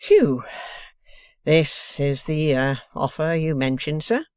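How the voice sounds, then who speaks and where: A reader voicing a deferential, self-satisfied man with a wooden leg who speaks slowly and politely, ending lines on a soft "sir". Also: mid-range voice, expressive, clear, resonant tone, dry recording, studio quality. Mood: obsequious. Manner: slow, polite, sir-peppered. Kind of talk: audiobook character reading.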